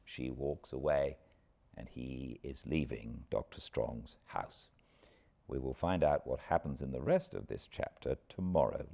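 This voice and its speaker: A British man hosting an informal book recap with an expressive storyteller's delivery. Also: extremely low pitch, creaky. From Britain. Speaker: host, audiobook narrator.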